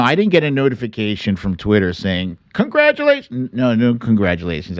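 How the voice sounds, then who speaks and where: A man's voice: medium pitch, dry recording, studio quality. A middle-aged man hosting a livestream, chatting casually and earnestly to his followers as he tells stories. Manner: chatty, earnest, chuckles and quotes himself animatedly. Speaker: host of a livestream show. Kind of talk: casual livestream chat to followers, telling stories and reading comments.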